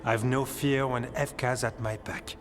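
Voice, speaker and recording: An actor playing an earnest, hopeful warden scholar in a fantasy video game, speaking in a thoughtful, halting way. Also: male voice, mid-range voice, fairly even intonation, faint music behind, close to an everyday voice. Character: earnest male warden scholar, hopeful, devoted, expert on Blight. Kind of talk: video game dramatic acting.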